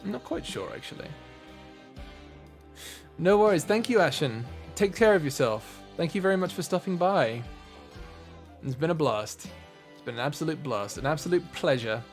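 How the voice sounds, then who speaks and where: A man hosting a livestream, chatting casually with viewers in an animated, joking, sweary way. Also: fairly high pitch, moderate pace, long pauses, faint music behind. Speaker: livestream host, voice actor. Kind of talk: casual chat with viewers, reading comments.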